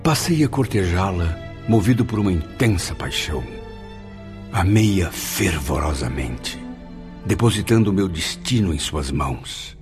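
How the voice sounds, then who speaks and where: A man's voice: low-pitched, expressive, unhurried. An actor playing a male spirit who solemnly recalls his past life in a radio drama. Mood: fervent, wounded. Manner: solemn, theatrical first-person storytelling. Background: music.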